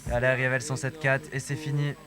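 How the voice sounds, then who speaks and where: A man's voice: mid-range voice, level tone, fast. A man giving live poker tournament commentary, exclaiming and repeating his phrases. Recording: music playing over the commentary. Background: loud music.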